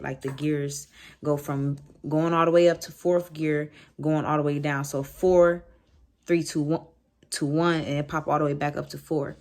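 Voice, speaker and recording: Casting: American woman vlogger, low voice, loose slangy storytime delivery with animated asides. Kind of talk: casual storytime chat to camera.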